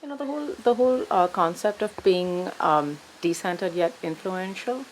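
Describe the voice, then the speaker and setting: A woman's voice: fairly low pitch, normal speed, a little hiss or hum, rough recording. A woman from India, a narrative therapist talking in a thoughtful, reflective way, often saying "you know". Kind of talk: reflective conversation.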